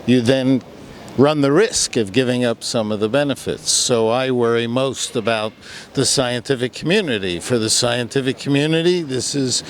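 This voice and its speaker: A man's voice: medium pitch, normal speed. Older American man, noisy street. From United States. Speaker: interviewee, retired scientist. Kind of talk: street interview. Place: outdoors on a London street. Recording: clear urban street noise.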